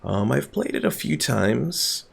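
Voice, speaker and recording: A man casually narrating a strategy game playthrough, thinking aloud and chatting with his stream viewers in a relaxed way. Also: mid-range voice, unhurried, gravelly, breathy edge. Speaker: gaming streamer. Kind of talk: casual let's-play commentary. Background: game music.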